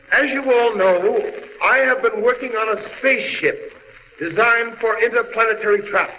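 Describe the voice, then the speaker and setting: Grave, high-voiced prophet of doom, old radio. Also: male voice. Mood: urgent warning. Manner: grave, oratorical. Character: impassioned alien scientist warning of doom, husband and father. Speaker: radio drama actor. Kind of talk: 1940s radio drama acting. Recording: muffled, hissy old radio recording, long reverb.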